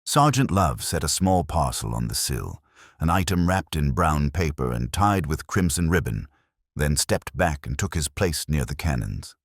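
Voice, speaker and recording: Man, very low voice, lively intonation, scripted mystery narration, measured storyteller, close dry sound. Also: dry recording.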